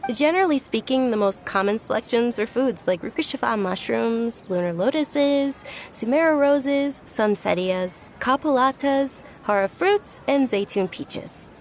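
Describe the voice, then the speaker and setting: A woman's voice: a little high, lively intonation, measured pace, few pauses, slight background noise. A woman playing a polite, earnest, well-bred young lady in a video game, slightly hesitant. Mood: informative. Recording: music behind the voice.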